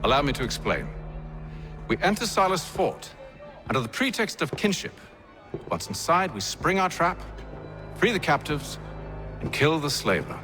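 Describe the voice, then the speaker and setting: An actor playing a composed, calculating leader of a covert band in a video game, speaking formally over music. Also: male voice, fairly high pitch, sing-song. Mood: confident. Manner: formal, measured, commanding. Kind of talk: video game cutscene acting.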